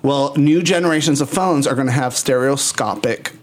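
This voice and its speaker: A man's voice: mid-range voice, moderate pace. Joking man hosting a basement podcast. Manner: chatty, bawdy, joking. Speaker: podcast host. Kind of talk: casual chat. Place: basement studio.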